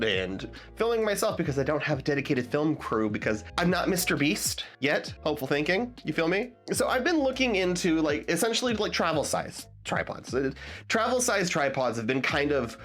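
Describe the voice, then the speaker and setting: Young male gear vlogger, slightly stuffed up, very animated pitch, loose and rambling. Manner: rambling, restarts often, asks viewers "you feel me?". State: stuffed up after being sick. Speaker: tech vlogger. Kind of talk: casual rambling product talk.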